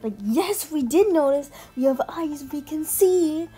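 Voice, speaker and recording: A woman giving casual, chatty commentary as a video host, informal and quick to swear. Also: sits high, animated, mellow. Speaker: video host ranking game character demos. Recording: music behind the voice. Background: background music.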